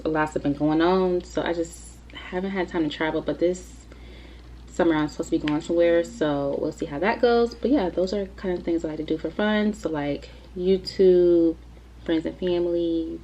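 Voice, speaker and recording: A woman's voice: fairly low pitch, steady tone, normal speed, warm tone, slight background noise. A woman in her twenties chatting casually to the camera for her video Q&A, rambling with lots of fillers. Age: 20s. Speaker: YouTuber. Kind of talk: casual Q&A vlog.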